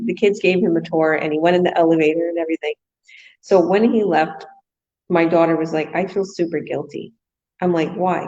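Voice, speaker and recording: Brief: low-pitched woman from Canada, chatty live-stream host who gushes and laughs, dull lo-fi audio. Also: gravelly, a little mellow. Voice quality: laughs often, gushing. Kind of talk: casual live stream chat.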